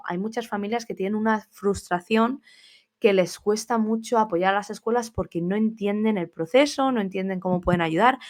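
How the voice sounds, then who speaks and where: A woman in her thirties from Spain, a teacher hosting a casual solo podcast, chatty and familiar, talking straight to her listeners with asides. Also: mid-range voice.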